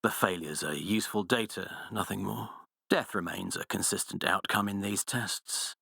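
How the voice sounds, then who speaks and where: An actor playing a cold, pompous, sadistic scientist villain in a video game, speaking with condescending, precise diction. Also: male voice, deep voice, moderate pace, creaky, sharp tone, dry recording, studio quality, exaggerated voice. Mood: clinical detachment. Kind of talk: video game villain voice acting, monologues and research logs.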